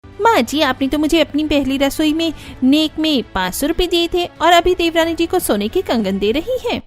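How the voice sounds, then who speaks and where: Cartoon schemer, jealous young daughter-in-law played by a woman, high-pitched, indignant, complaining, faint music underneath. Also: animated, moderate pace. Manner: taunting, indignant.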